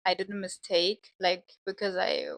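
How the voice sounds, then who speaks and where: A woman with a German accent co-hosting a casual podcast chat, encouraging and quick to agree. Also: medium pitch, level tone, no echo. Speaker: podcast co-host.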